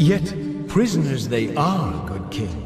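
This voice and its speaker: An actor playing a grave, fervent, mysterious prophet, speaking portentously like an orator in a fantasy game. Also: male voice, mid-range voice, big pitch swings, takes it slowly. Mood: ominous. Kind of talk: fantasy game cinematic acting. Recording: reverberant hall, loud dramatic music.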